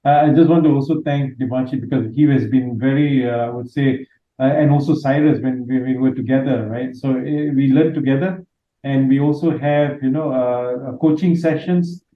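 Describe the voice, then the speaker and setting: A man's voice: mid-range voice, steady tone, moderate pace. A man from Malaysia chatting on a group video call, hesitant with many fillers and thankful in tone. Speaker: trading group member. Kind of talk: casual group call chat. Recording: tinny echoey call line.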